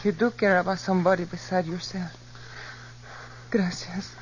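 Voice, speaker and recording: A woman's voice: fairly low pitch, fairly even intonation, moderate pace, lightly acted. A woman acting a proud frontier woman in a 1950s radio western drama. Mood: softened. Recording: dull, faintly noisy 1950s radio recording.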